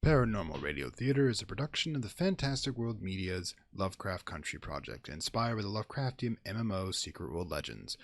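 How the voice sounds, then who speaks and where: A man presenting a horror radio series with theatrical, deliberate scripted narration and a macabre flourish. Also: low voice, big pitch swings, dry recording.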